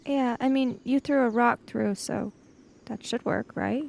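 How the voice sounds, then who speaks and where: A woman's voice: on the high side, unhurried, creaky, darkish tone. A woman improvising in a casual tabletop role-playing game, switching between speaking as her character and narrating, sometimes hesitantly.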